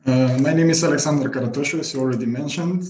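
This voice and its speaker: A man's voice: medium pitch, fast talker, a little mellow. A man in his twenties from North Macedonia introducing himself as an interview guest. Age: 20s. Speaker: guest youth ambassador and student. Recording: echoey, roomy sound.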